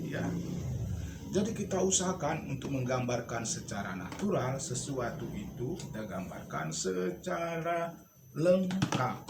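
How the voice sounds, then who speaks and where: In a reverberant studio with noticeable noise behind him, a man gives an informal lesson. He speaks at an average male pitch, explaining and thinking aloud as he demonstrates. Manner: instructive, thinking aloud while showing objects. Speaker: storytelling instructor and puppeteer-storyteller. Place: indoor home studio. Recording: echoey room with clear background noise.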